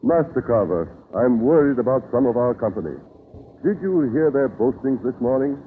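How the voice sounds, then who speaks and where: An actor playing an earnest, law-minded Pilgrim elder who speaks in formal, archaic phrases in a radio drama. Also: male voice, mid-range voice, expressive, normal speed, phone-quality sound, close to an everyday voice. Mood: worried. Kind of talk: old-time radio drama acting. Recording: thin vintage radio recording, background hiss.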